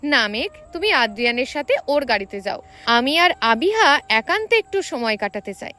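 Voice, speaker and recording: A woman's voice: high voice, very animated, moderate pace, sharp tone, heavily acted. A woman narrator voicing a scheming, teasing young matchmaker in an audio story, casual and insistent. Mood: sly, insistent.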